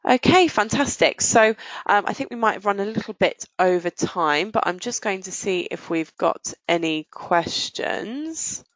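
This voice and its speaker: A woman presenting a software demo and answering questions as a webinar host, explaining things in business-like phrasing. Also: mid-range voice, expressive, measured pace, no room sound, poor audio.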